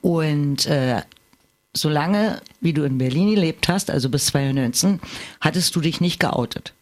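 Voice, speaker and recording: A middle-aged or older man with a fairly high voice for a male speaker. He talks in a casual, personal way as a participant in a conversation. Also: unhurried. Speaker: conversation participant asking questions.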